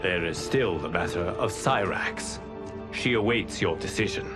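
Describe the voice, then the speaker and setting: A man playing a stern, solemn guardian god in a video game, speaking formally and deliberately with authority. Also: low-pitched, lively intonation, over music. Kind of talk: video game cutscene acting.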